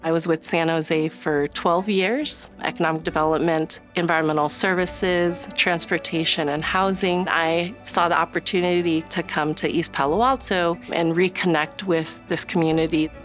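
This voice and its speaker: A middle-aged woman from the US Northwest, a city development director, explaining her work in a calm, measured way in a documentary interview. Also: fairly low pitch, soft background music. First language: Chinese.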